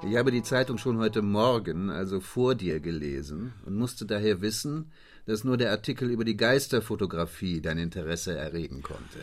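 An actor playing a bored, conceited detective in a German radio play, languid and lecturing in a condescending way. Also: male voice, lowish voice, animated, moderate pace, faint music behind, lightly acted. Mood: smug, lecturing. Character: bored, conceited male detective, a Sherlock Holmes parody.

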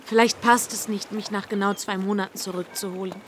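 A woman's voice: mid-range voice, fairly even intonation, fairly quick, natural voice. Period radio drama, female actor: modest young lady, polite, reserved, mid-range voice, faint background noise. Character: modest, reserved young woman of Regency England.

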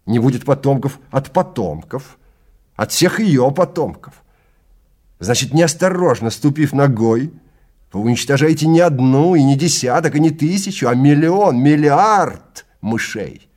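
Guy doing a stern bossy expedition guide for an audio play, super animated pitch, lectures hard, kinda scornful. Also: a little slow. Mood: insistent. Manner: emphatic, lecturing, commanding. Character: stern, contemptuous male time-travel safari guide. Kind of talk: Russian audio drama acting.

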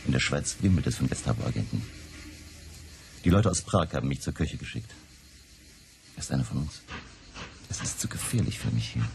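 An actor playing a weary, fearful political refugee in a German radio drama, telling his past in a halting, subdued, gravelly way. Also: rumbling low, background noise, heavily acted. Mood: wary, resigned. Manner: halting, subdued confessional storytelling. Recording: music behind the voice.

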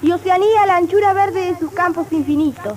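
Very high child announcer, proudly declaiming. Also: female voice, a little fast, a crowd in the background. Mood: solemn, proud. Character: child continent speakers proudly announcing over the radio. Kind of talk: 1960s children's radio theatre. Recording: noisy old radio recording.

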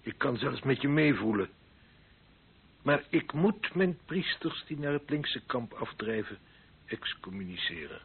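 An actor in a radio play playing a grave, paternal archbishop, solemn and deliberate, with a husky voice. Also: male voice, mid-range voice, expressive, heavily acted. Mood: grave, troubled. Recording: phone-like narrow old recording.

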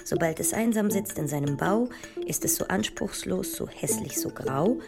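Casting: grave, introspective female intellectual, lowish creaky voice, measured and hushed, audio drama over music. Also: animated. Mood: wry, musing. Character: grave, introspective woman mathematician recalling childhood fears. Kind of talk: literary audio drama monologue. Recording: music under the voice.

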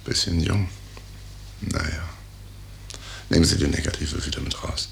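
A man's voice: very deep voice, fairly even intonation, measured pace, vocal fry, heavily acted. A man acting a brusque, patronising senior surgeon, a middle-aged professor, in a 1950s radio drama. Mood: grim, resigned. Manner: offhand, patronising. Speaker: actor. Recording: noisy old 1950s radio recording.

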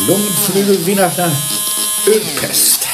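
An actor in a radio play playing a gruff, matter-of-fact pathologist, laconic and absorbed in his work. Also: sits high, unhurried, heavy background noise. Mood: dry, busy. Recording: echoey room, loud working noises.

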